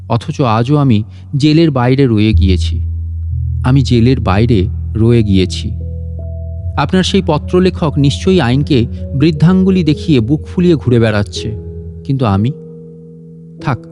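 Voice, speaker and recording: A man's voice: mid-range voice, warm tone. A man reads a literary story as a scripted audio narration. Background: guitar and instrumental music.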